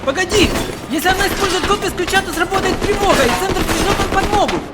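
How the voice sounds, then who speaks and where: A man's voice: very high-pitched, fast, heavy background noise. An actor playing an agitated, grumbling male guard speaking urgently in a video game cutscene. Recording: loud music under the voice.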